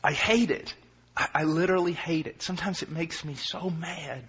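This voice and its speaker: Husky impassioned preacher man in his forties. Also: on the high side, moderate pace. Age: 40s. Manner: impassioned, swinging from quiet to shouting; self-deprecating humor. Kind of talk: sermon. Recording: dull lo-fi recording.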